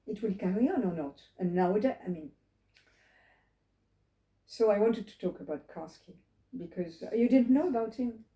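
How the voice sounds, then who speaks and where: An elderly woman talks to a few listeners in a living room, her voice mellow and warm. She wanders between memories, restarts her sentences and checks whether her listeners follow. The room sounds roomy around her. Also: mid-range voice, lively intonation, normal speed. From France. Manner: reflective, digressive, asks listeners questions. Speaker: holocaust survivor telling her story. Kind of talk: personal storytelling to a small group.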